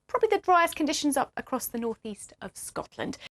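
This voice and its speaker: A woman's voice: sits high, very animated, fairly quick. A woman presenting the afternoon weather forecast in a conversational broadcast style.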